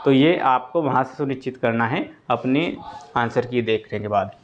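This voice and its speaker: A man's voice: mid-range voice, moderate pace, slight background noise. A man gives an informal explanatory update to students, going step by step and repeating key points. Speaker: exam-update presenter for students. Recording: dull lo-fi audio.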